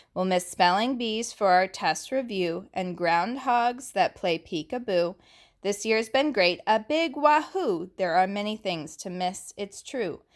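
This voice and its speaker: A woman voicing earnest young schoolchildren from a picture book, with a simple, lifted delivery. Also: medium pitch.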